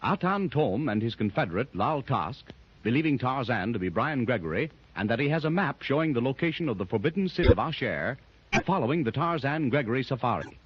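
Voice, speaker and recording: A man's voice: medium pitch, sing-song, brisk, slightly rough. A man narrating a 1930s radio adventure serial in a dramatic announcer style, on a muffled old recording.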